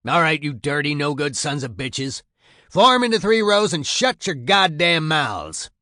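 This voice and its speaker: Drill sergeant, acted by a male narrator: gruff, loud, raised pitch, foul-mouthed, contemptuous, berating recruits in a memoir reading. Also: big pitch swings, unhurried, dry recording, clean studio sound, heavily acted. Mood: barking, impatient. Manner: barking, berating commands.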